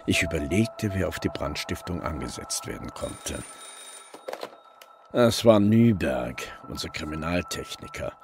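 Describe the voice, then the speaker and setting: A man's voice: sits low, sing-song, measured pace, gravelly, noisy background, full character voice. An actor playing a reflective police detective who narrates his own case in a crime radio drama. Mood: sober, reflective. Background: music.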